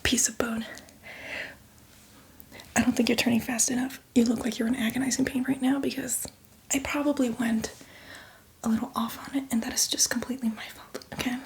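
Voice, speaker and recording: A whispering female zombie, played by a woman in an ASMR roleplay, with a fairly high voice over clearly audible background noise. She rambles casually and reassuringly, fussy and apologetic yet eager for blood. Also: level tone, fairly quick, long pauses, close to an everyday voice. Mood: apologetic, soothing.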